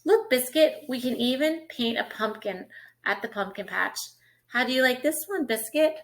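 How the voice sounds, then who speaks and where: A woman's voice: high-pitched, animated, normal speed, pitched above the performer's own voice. A woman voicing a cheerful little girl who talks to her puppy in a coaxing, sing-song way.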